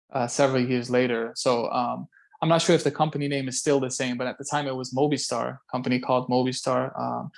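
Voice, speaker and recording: A man's voice: mid-range voice, creaky. A man in his thirties from Belgium, a guest talking casually over a video call, thoughtful and often repeating words as he searches for them. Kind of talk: casual conversation. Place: home room by a window.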